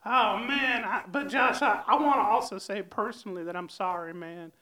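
A man's voice: high voice, normal speed, sharp tone, voice pushed down. A man doing Ted Mouse, a dopey mouse host. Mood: goofy. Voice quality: stoner drawl ending lines with "man". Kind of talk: improvised comedy bit.

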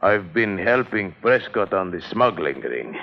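An actor playing a wary shopkeeper with halting, terse speech in a 1950s adventure drama. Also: male voice, low-pitched, animated. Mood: weary, cooperative. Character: wary Portuguese shopkeeper, beaten but loyal informant. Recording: muffled, dated 1950s soundtrack.

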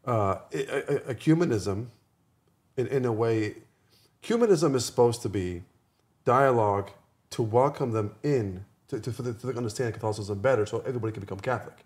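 A man's voice: mid-range voice, fairly quick, a little mellow. A man in a casual religious discussion, agreeable and somewhat hesitant, often repeating words as he forms his thoughts. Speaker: podcast co-host or discussion partner.

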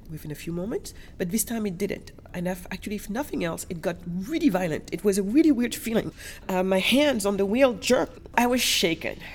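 A woman's voice: on the low side, slight background noise. A woman from Senegal who later lived in Europe, speaking emphatically as an interview guest and telling personal stories, repeating words for stress. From Senegal, later Germany and France.

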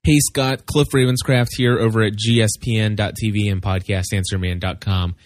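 A man's voice: lowish voice, fairly even intonation, normal speed, no echo. A man leaving recorded feedback, an informal movie review that he thinks through aloud and hedges.

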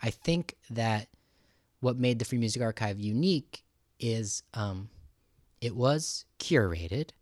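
Halting man hosting a casual interview. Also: medium pitch, darkish tone, dry recording, studio quality. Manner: halting, thinking aloud. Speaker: podcast host.

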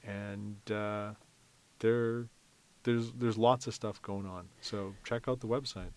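A man's voice: on the low side, steady tone, slow pace. A man chatting casually as an interview guest, rambling a little as he explains. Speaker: festival organizer, interview guest.

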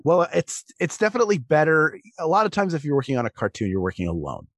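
A man speaking as a guest on a discussion podcast, thinking through his phrases with a halting delivery. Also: a little high, huge pitch swings, no room sound. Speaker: guest voice actor.

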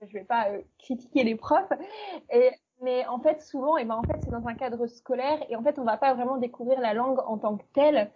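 A woman's voice: a little high, a little fast, highs cut off, slight background noise. A woman from eastern France, a language teacher, chatting as an interview guest with many fillers and restarts. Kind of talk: casual interview talk.

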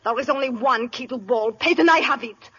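An actress playing a melodramatic young heiress guarding a fortune, speaking in a theatrical, intense way in a 1930s radio play. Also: female voice, sits high, fairly quick, fairly bright tone, husky, dull audio, exaggerated voice. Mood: dramatic. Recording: scratchy 1930s radio broadcast.

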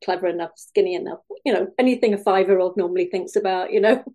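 A middle-aged woman from Wales, a podcast guest telling her story with many pauses, fillers and small laughs. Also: lowish voice, mellow. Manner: hesitant, many fillers and restarts, laughs often. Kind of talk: podcast interview.

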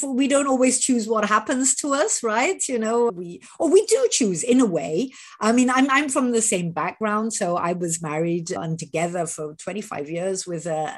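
A woman in her fifties hosting an interview podcast, talking in an animated way and often restarting her sentences. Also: lowish voice. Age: 50s. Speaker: podcast host. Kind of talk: conversational interview.

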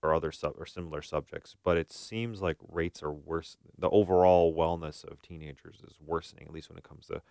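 A man's voice: a bass voice, steady tone, a little fast, vocal fry, hardly any pauses, darkish tone, no room sound, studio quality. A man co-hosting a talk show as a therapist, discussing informally and thinking aloud as he goes. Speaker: podcast co-host, therapist. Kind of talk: casual discussion.

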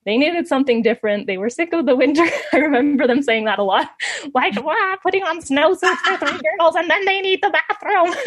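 Female interview guest, slightly high register, casual storyteller, laughs easily through sentences, very dry room sound. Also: lively intonation, normal speed, dry recording. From born in Canada, grew up in Ecuador. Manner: giggly, laughs often while talking.

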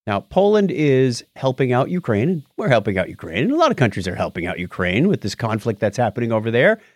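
Male co-host, American, chatty and chuckly, very animated intonation, dry sound. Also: mid-range voice, quick pace, no echo. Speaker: podcast co-host. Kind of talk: casual news discussion.

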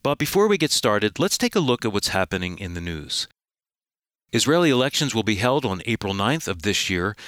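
A man's voice: lowish voice, sing-song, fairly quick, fairly bright tone, dry recording, studio quality. A man co-hosting a radio program, reading introductions and news from a script as an announcer.

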